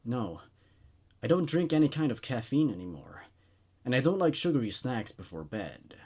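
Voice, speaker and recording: A man's voice: medium pitch, big pitch swings, normal speed, darkish tone, slightly rough. Man reading as a troubled, hesitant young patient. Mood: defensive, uneasy. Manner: hesitant, uneasy. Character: troubled, nervous man in his twenties, a patient. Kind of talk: horror story reading.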